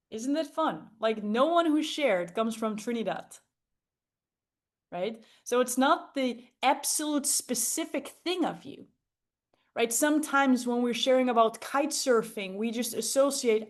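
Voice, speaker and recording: A woman's voice: fairly high pitch, a little slow. A woman coaching a group on public speaking, enthusiastic and emphatic, often checking in with rhetorical questions. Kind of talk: interactive group coaching talk.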